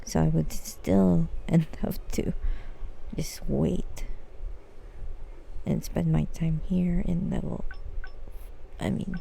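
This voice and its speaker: A woman's voice: low voice, level tone, takes it slowly, vocal fry, pauses often, dark tone, a little hiss or hum, rough recording. A woman chatting casually on a live game stream, rambling and thinking aloud in a hesitant way. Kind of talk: casual live stream chat while gaming.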